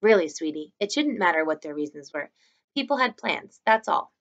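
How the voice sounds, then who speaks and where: A woman's voice: lowish voice, sing-song, normal speed, a bit bright, highs cut off. A woman voicing a schoolboy's mother in a storybook, reasoning and placating, with a touch of impatience. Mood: mildly impatient. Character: patient but slightly impatient mother of a schoolboy.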